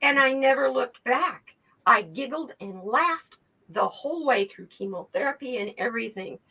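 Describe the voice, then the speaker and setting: Bright-voiced, animated woman guest. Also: on the high side, normal speed, gravelly, husky. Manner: animated storyteller, laughs often. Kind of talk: casual video interview.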